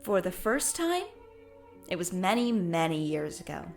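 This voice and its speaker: A woman playing a mysterious, ancient female ghost in an audio drama, speaking in a formal, cryptic, measured way. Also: on the low side, big pitch swings, gravelly, darkish tone, soft background music, heavily acted. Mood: cryptic, aloof.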